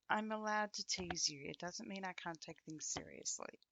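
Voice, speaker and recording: Woman acting as affectionate female companion, ASMR roleplay, bright crisp voice, unhurried, casual gentle teasing, very dry close sound. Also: fairly low pitch, no echo.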